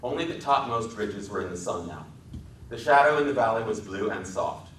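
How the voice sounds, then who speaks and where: A man's voice: lowish voice, expressive, moderate pace, a bit bright. An American man narrating a literary story as a dramatic reading. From Wisconsin, United States. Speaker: author and dramatic reader. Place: public library event room. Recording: echoing hall, distant mic, faint noise.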